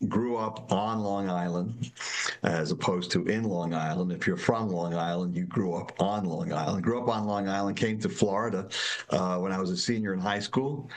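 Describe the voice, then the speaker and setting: A man in his sixties from Brooklyn with a New York accent, a judge chatting as a podcast guest, halting with many repeats and fillers. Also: low voice. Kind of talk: casual interview chat.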